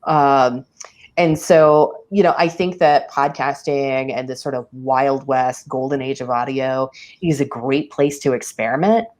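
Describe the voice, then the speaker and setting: A woman in her forties, a public radio director, talking candidly in a casual interview, laughing often and filling pauses with ums. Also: very deep voice, steady tone, a little mellow.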